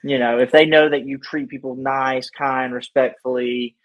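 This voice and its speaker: A man with a mid-range voice hosts a relaxed podcast interview. He talks informally and with plenty of slang, putting his opinions in as he asks questions. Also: medium pitch, normal speed. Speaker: podcast host. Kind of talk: casual interview chat.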